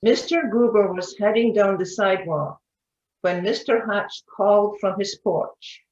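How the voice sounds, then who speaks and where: A woman's voice: mid-range voice, a little slow, darkish tone. A woman narrating a children's story for readers theatre, recorded with a dull, echoey sound.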